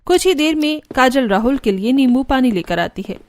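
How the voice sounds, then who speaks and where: Woman narrator, fairly high voice, scripted storytelling, cartoon tale. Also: expressive, normal speed, a little mellow, no room sound.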